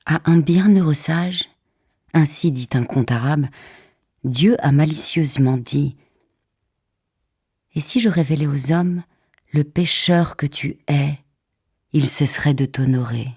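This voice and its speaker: Woman, husky and breathy, slow, solemn, measured dramatic verse recitation, deliberate phrasing. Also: deep voice, darkish tone. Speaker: poetry reader. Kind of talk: dramatic poetry recitation for an audiobook. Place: radio theatre recording studio.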